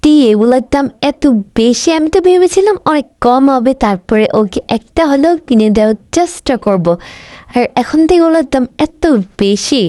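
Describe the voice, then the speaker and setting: A caring mother who coaxes her young daughter in a homemade storybook, as a woman plays her. Her voice is high and close, with a dry sound. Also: animated, moderate pace, dark tone, dry recording. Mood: surprised. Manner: coaxing, motherly.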